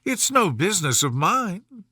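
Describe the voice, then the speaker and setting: A man's voice: high voice, very animated, unhurried, pushed and bright, dry recording, clean studio sound, voice pushed up, heavily acted. A man voicing a polite young storybook girl who is earnest, a little timid and yet plucky. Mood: bold.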